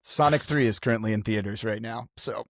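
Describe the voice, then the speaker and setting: A man's voice: lowish voice, a little fast, no echo, clean studio sound. A man playing a tabletop role-playing game, reacting and speaking casually for his character.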